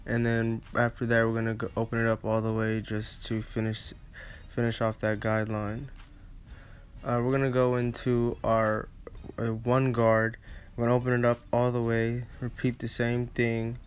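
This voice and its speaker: A man's voice: mid-range voice, monotone, normal speed. A man narrating a casual step-by-step barber tutorial, with hesitant, repetitive phrasing.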